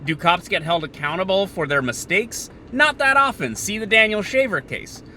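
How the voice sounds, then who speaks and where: Male podcast host, slightly high and very forward, cutting tone; sardonic, unscripted opinion delivery over faint ambient noise. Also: animated, bright, forceful tone. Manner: opinionated, sardonic, halting between bursts. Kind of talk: unscripted political monologue, thinking out loud.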